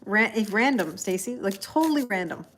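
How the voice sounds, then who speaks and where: A woman's voice: medium pitch, animated, moderate pace, fairly bright tone, slight background noise, poor audio. A woman from Canada chats her way through a tarot livestream, talking to her viewers. She rambles in loose, easygoing asides, laughing often and calling out viewers by name as she reads their comments. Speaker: tarot reader and livestream host. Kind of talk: casual livestream chat.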